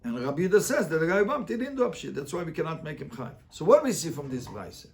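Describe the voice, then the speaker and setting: Higher-pitched man teaching a Talmud class. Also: sing-song, racing, creaky. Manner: emphatic, repeats phrases for explanation. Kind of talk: interactive Talmud lesson with students.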